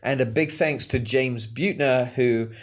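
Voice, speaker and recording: A man's voice: medium pitch, a little slow. Earnest man co-hosting a podcast. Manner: earnest, friendly, with frequent fillers. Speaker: podcast co-host. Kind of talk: casual local community podcast chat.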